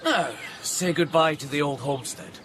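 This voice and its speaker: An actor playing a coolly menacing, polite alien in a radio drama, with a measured, condescending manner. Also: male voice, a little high, a bit bright, slight background noise, close to an everyday voice. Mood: smug.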